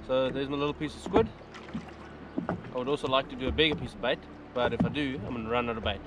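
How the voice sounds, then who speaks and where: A man's voice: medium pitch, fast talker, heavy background noise. A young man, chatting casually as he fishes from a jet ski on the open sea and laughing often. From New Zealand. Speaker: fishing vlogger. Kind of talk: casual fishing vlog commentary. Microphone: action camera mounted on the ski.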